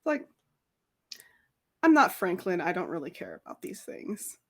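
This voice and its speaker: A woman's voice: on the low side, big pitch swings, a little slow. A woman chatting casually as a player in a tabletop roleplaying game. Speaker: tabletop role-playing player.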